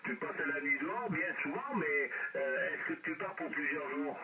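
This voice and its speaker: A man's voice: a little high, animated, moderate pace. A man talking casually as an amateur radio operator, heard through a radio transmission. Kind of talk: casual radio chat, anecdotes and questions. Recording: loud hiss, radio re-recorded by phone. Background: loud hiss.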